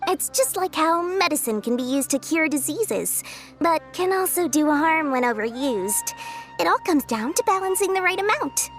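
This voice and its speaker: Cheeky young female merchant, high voice, swooping intonation, glib sales patter, video game character. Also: animated, normal speed, soft background music. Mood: explaining, knowing. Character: shrewd, cheeky young woman merchant, eager for profit.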